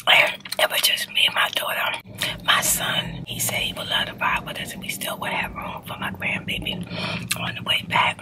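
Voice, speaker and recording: A woman in her forties whispering a personal dating story in a chatty way, with lots of fillers. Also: normal speed.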